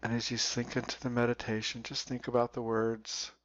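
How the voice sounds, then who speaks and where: A man leading a guided meditation in a slow, deliberate way, leaving long pauses. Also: mid-range voice, completely flat delivery, a bit bright. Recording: dull, roomy recording.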